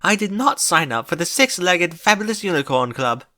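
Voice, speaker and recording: A man's voice: high voice, big pitch swings, normal speed, sharp tone, pitched above the performer's own voice, full character voice. A man voicing a dramatic, prissy female unicorn fashionista, theatrical and haughty, in a cartoon-style story reading. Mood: indignant.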